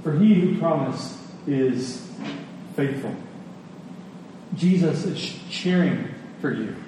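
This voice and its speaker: A man preaching an expository sermon, earnest and emphatic, with dramatic pauses and repetition. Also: on the high side, animated. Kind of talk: expository sermon with scripture reading. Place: large reverberant indoor hall. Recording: distant, echoey large hall, faint noise.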